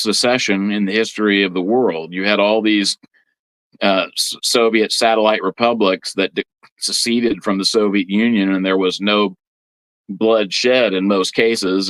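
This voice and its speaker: A man with a slightly low voice, recorded close and dry. He talks like a podcast guest giving an informal history explanation, halting and doubling back on words as he lays things out. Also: fairly bright tone, no room sound, poor audio. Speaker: guest priest on a religious podcast.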